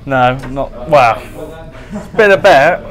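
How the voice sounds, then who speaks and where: Young man, modest, hesitant, apprentice contestant, mid-pitched. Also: lively intonation, takes it slowly, a bit bright. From South West England. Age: young adult.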